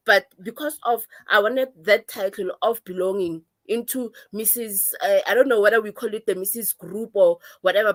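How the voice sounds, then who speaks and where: A woman's voice: mid-range voice, fairly bright tone. A South African woman in her thirties, a guest who talks earnestly and gives advice, with hesitations. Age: 30s. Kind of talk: casual chat.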